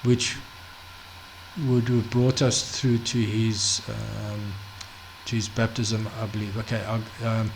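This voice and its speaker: A man teaching a Bible study off the cuff, halting, full of restarts, fillers and "okay?" check-ins. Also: on the low side, flat delivery, creaky, slight background noise, low-quality recording. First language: not Hebrew. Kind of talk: unscripted screen-share Bible study.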